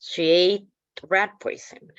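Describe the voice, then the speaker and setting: Female activist from El Salvador, slow, halting, earnest testimony, dry and slightly dull call audio. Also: lowish voice, fairly even intonation, no room sound. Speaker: activist organizer. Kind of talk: personal testimony. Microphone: video call.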